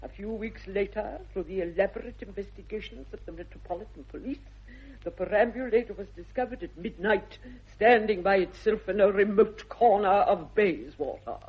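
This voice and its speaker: A woman's voice: mid-range voice, normal speed, husky, lightly acted. An actress playing a snobbish, haughty older aristocratic lady with an upper-class English accent, pompous and precise, in a British radio comedy. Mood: stern, accusing. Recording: dull vintage radio recording.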